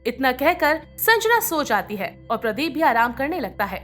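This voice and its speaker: High, lively woman narrating a story. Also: moderate pace, faint music behind. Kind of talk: scripted narration of a moral bedtime story.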